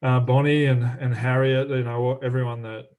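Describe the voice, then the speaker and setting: A man's voice: mid-range voice, flat delivery, moderate pace, darkish tone, smooth. Male coach, casual and rambling, on a video call. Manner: rambling, lots of fillers and restarts. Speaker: athletics coach.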